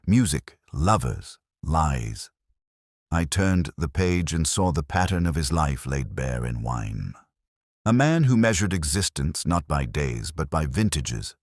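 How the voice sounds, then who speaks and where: A man with an extremely low voice narrates a detective story in the first person. His delivery is measured and literary, with expressive rises and falls in pitch. The recording is close and dry. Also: no echo.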